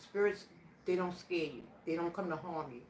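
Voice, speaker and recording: Vlogger, woman in her 60s, slightly low voice, unhurried and plainspoken; distant mic, faint hiss. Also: darkish tone. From Virginia, USA. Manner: plainspoken, slow and reflective, answering questions aloud. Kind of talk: casual question challenge. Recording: echoey room, voice from a distance, faint background noise.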